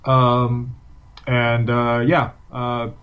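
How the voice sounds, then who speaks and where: A man's voice: medium pitch, completely flat delivery, very slow, clear, resonant tone, muffled recording. A man from Ukraine, a writer appearing as a guest, answering questions hesitantly with many ums and uhs. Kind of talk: interview answers.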